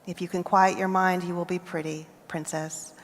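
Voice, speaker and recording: An American woman poet reading her poems aloud at a festival, with measured, deliberate phrasing and pauses between lines. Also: fairly low pitch, level tone. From United States. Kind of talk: poetry reading. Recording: reverberant hall.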